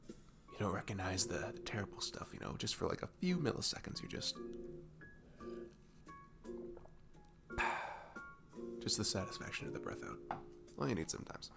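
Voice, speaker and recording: A man acts out a sweet young barista in an intimate ASMR roleplay. His voice is low with plenty of vocal fry. He rambles hesitantly and pokes fun at himself, with music clearly playing behind him. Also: very animated, a little fast. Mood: soothing, reflective. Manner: rambling, self-deprecating, giggly, hesitant. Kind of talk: intimate ASMR roleplay, soft improvised-sounding chat.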